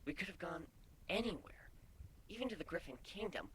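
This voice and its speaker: A man voicing a young female changeling in a story reading, gentle, teasing and inquisitive, with soft breathy delivery. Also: on the high side, big pitch swings, moderate pace, slight background noise, pitched above the performer's own voice. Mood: gently probing.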